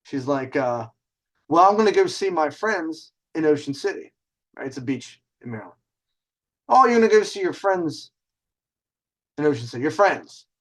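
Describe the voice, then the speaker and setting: A male host talks solo in a casual, storytelling style. His voice sits a bit higher than most men's, and he carries himself with the swagger of a self-styled showman. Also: expressive, moderate pace, long pauses, a bit bright.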